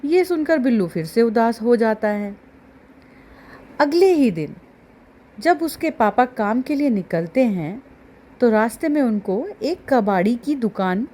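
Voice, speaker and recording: A woman's voice: fairly high pitch, dark tone. A woman narrating a story for children as a storyteller.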